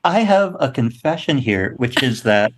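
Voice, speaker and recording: A man with a medium-pitched voice talks as a guest writer in a relaxed interview. He is wry and gently self-deprecating, chatting informally. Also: unhurried, a little hiss or hum. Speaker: guest playwright. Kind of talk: casual interview chat.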